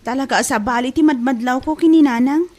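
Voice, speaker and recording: A woman's voice: high voice, brisk, darkish tone. A woman with an Ilocano accent playing an anxious, reproachful young daughter in an audio drama. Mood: worried complaining.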